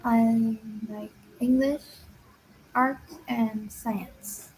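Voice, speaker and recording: A woman's voice: a little high, fairly even intonation, drawn-out words, darkish tone, slight background noise, rough recording. A young woman from Vietnam with a Vietnamese accent, an English student giving short, hesitant answers.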